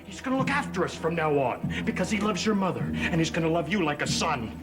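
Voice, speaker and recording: An actor playing a bitter, hot-tempered young son in a gothic soap opera, vehement and accusing. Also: male voice, fairly high pitch, sing-song, fast. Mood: bitter rage.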